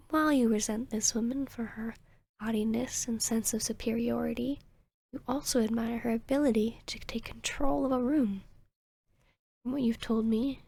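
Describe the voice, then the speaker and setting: A woman playing a calm, soothing vampire therapist in an ASMR roleplay, speaking softly and gently in a breathy, measured, near-whispering way. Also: a little high. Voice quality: breathy, soft. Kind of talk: ASMR roleplay, soft-spoken to the listener.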